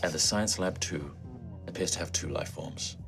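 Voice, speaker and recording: A man voicing a disembodied ship's computer, measured, polite and deadpan, in a tabletop game. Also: very deep voice, gravelly, music in the background. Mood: flat, apologetic. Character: calm, apologetic ship computer, a disembodied artificial intelligence.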